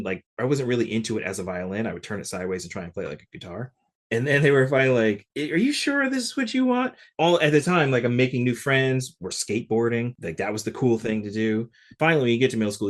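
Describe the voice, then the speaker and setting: A fairly low-pitched man from Connecticut, speaking as a podcast guest. He talks loosely and conversationally, sprinkling his stories with casual fillers. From Connecticut, USA. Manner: relaxed, conversational, many "like" fillers. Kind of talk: casual interview storytelling.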